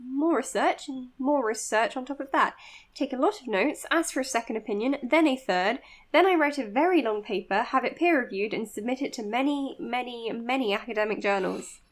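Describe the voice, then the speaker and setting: A woman playing an earnest, modest young psychiatrist in an audio drama, speaking in a measured, precise, slightly hesitant way. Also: a little high, lightly acted. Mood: earnest, careful. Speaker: doctor being interviewed on a podcast. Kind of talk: audio drama acting, natural interview and voice notes.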